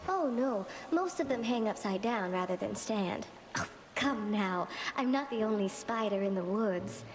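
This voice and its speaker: A woman's voice: mid-range voice, expressive, measured pace, slight background noise. A woman voicing a dreamy, vain spider weaver in a video game, with a languid, genteel and fussy way of talking. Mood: coy, genteel. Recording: echoing, long reverb, music behind.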